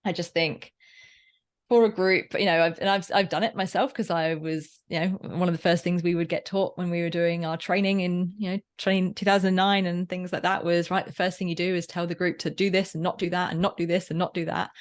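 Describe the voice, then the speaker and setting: Woman, moderately low pitch, informal interview guest, discursive, frequent rephrasing, conversational. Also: level tone, a little fast. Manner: informal, discursive, often rephrasing mid-sentence. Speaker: podcast guest, workplace learning facilitator.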